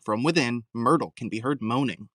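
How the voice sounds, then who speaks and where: A man's voice: mid-range voice, normal speed, fairly bright tone, no echo. Male narrator with a dry, close sound. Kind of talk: scripted audio drama narration.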